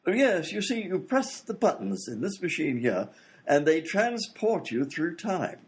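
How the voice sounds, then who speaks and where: A man's voice: on the high side, sing-song, measured pace. A man playing a bumbling, fussy scientist inventor in a comedy radio play, muddled and hesitant as he talks. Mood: eager, muddled.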